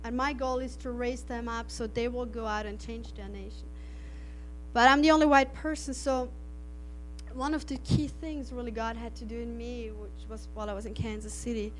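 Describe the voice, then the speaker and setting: A woman's voice: a little high, steady tone, moderate pace, slight background noise, low-quality recording. A middle-aged woman from Austria, a native German speaker, giving an earnest missionary testimony with frequent laughs and restarted phrases. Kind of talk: testimony to an audience.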